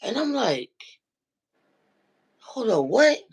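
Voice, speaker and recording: A man with a deep, nasal voice who calls into a livestream and tells a story, acting out what other people said. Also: slow pace, creaky, breathy edge. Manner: animated storyteller, acts out quoted dialogue. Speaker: regular viewer calling into a livestream. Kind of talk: casual chat, retelling an online argument.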